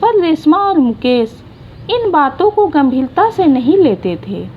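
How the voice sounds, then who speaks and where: A woman narrating a short moral story in an unhurried, gentle reading style. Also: high-pitched, sing-song, highs cut off, slight background noise.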